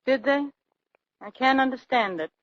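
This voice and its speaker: A woman's voice: sits high, moderate pace, over loud music, close to an everyday voice. Woman playing a sardonic, flirtatious wife, muffled sound. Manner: sharp-tongued, dry wisecracking. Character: sardonic, flirtatious, discontented wife of a trucking boss. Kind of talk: 1940s radio acting. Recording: muffled 1940s radio recording.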